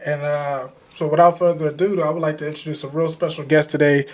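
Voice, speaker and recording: A man's voice: fairly high pitch, level tone, quick pace, darkish tone. A man hosting a casual podcast, laid-back and full of fillers, laughing often. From Pittsburgh area. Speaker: podcast host.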